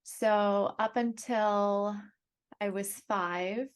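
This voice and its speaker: A young adult woman, born in Russia and raised in Canada, telling her life story as a podcast guest in a soft, thoughtful way with pauses. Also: medium pitch, fairly even intonation, clean tone. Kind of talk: reflective personal storytelling.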